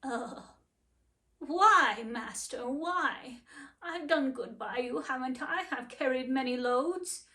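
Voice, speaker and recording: A woman's voice: high-pitched, lively intonation, slow, pushed and bright, full character voice. A woman voicing an old male donkey in a storybook, earnest and pleading. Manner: pleading, earnest, fond of singing.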